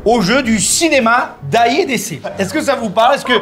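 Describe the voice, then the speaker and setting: Excitable male host, quick exclamations, lively delivery. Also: extremely high pitch. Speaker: game show host. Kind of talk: comic talk-show hosting.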